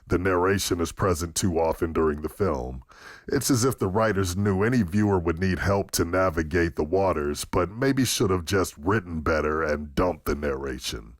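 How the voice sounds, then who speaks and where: A man's voice: low voice, normal speed, no echo, studio quality. A man reading written reviews aloud on a podcast in a scripted delivery. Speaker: podcast review segment reader.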